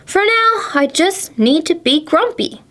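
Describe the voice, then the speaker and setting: A woman's voice: extremely high pitch, big pitch swings, measured pace, fairly bright tone, voice pushed up, exaggerated voice. A woman reading a children's storybook, voicing a grumpy, sulking male animal with emphatic delivery. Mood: sulky. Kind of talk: children's storybook read-aloud.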